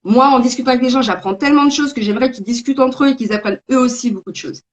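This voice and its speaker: A woman's voice: high-pitched, racing, highs cut off. A woman born in Belgium and raised in France, a game creator chatting casually and thoughtfully, with frequent hesitations, over a video call. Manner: thoughtful, talkative, many hesitations. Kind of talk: casual conversation.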